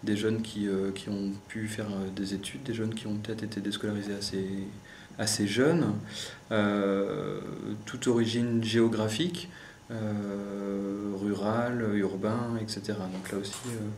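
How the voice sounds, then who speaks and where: A man explaining his organisation's work in an interview, speaking in a careful, hesitant, measured way. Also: fairly low pitch, little pitch movement, a little mellow. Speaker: youth organisation representative. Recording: roomy echo, faint background noise.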